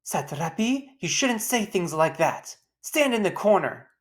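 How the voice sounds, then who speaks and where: A woman's voice: on the low side, big pitch swings, unhurried, voice pushed up. A woman voicing a strict, commanding female schoolteacher who reprimands pupils in a storybook. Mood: reprimanding.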